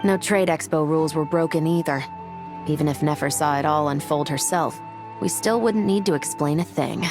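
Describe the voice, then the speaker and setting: Low, deadpan woman patrol captain. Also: moderate pace, music in the background. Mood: matter-of-fact. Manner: calm, deadpan, matter-of-fact. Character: cool, confident female patrol captain in an anime fantasy. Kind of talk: video game voice acting.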